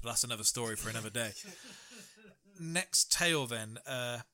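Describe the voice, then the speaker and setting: A man's voice: mid-range voice, pushed and bright. A man hosting a podcast, reading out odd news stories and telling jokey tales in casual chat. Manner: chatty, jokey storyteller. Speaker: podcast host.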